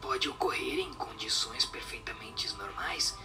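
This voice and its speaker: An actor voicing a solemn spirit that gives measured, instructive answers in a breathy whisper in a radio drama. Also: mid-range voice, expressive, bright, forceful tone, distant mic, faint music behind, heavily acted.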